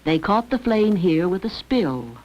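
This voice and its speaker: A woman's voice: fairly low pitch, very animated, a little slow, darkish tone. A woman reading scripted narration for an educational film. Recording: dull lo-fi old educational film.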